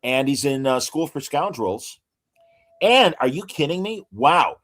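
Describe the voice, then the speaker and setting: A man co-hosting a casual podcast, reading out actor credits with frequent hesitant pauses and showman asides to the audience. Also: medium pitch, sing-song, a bit bright. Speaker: podcast co-host.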